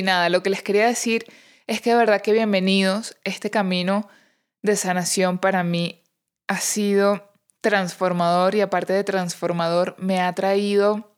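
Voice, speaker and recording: A woman hosting a casual solo podcast, speaking informally and encouragingly straight to her listeners. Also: mid-range voice. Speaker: podcast host.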